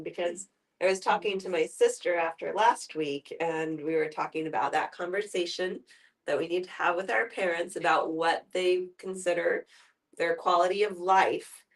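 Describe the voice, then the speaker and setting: A woman in a casual group discussion, recounting conversations in an animated way. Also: fairly low pitch, moderate pace, far from the mic.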